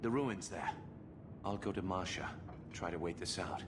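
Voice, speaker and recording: An actor playing an earnest, idealistic templar conspirator in a fantasy game, pleading and sincere. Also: male voice, sits low, normal speed, noisy background, lightly acted. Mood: subdued, regretful. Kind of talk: dramatic video game acting.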